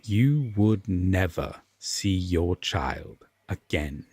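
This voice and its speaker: A man playing a solemn, regretful Fae king with hidden tenderness, speaking formally and with measured pauses in an audio roleplay drama. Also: deep voice, expressive, a little mellow, slightly rough, dry recording, heavily acted. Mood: pleading, warning. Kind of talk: slow audio roleplay drama addressed to the listener.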